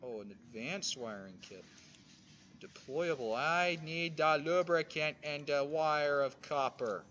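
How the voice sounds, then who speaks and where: A streamer giving loud, animated commentary while playing a game at home. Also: medium pitch, takes it slowly, dull audio, slight background noise. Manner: animated, sudden excited outbursts. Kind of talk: casual gameplay commentary. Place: indoors at home.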